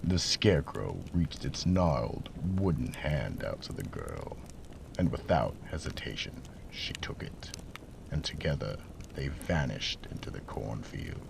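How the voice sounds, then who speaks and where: A man playing a sinister horror-show storyteller, narrating theatrically in a deep, gravelly and deliberate voice. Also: sing-song, noisy background, exaggerated voice. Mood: ominous. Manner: ominous, deliberate. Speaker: narrator and host.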